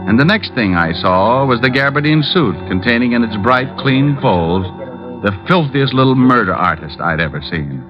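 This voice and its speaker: Actor as a wisecracking private eye, old muffled radio. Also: male voice, medium pitch, natural voice. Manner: wry, self-mocking. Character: wisecracking male private detective narrating his own case. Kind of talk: 1940s radio detective acting. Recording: muffled old radio broadcast, musical backing.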